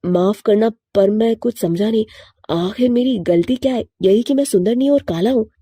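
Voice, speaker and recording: A woman voicing a lovestruck young male crow in an earnest, pleading way for an animated story. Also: on the high side, moderate pace, breathy edge, no echo, clean studio sound. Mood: hurt, pleading.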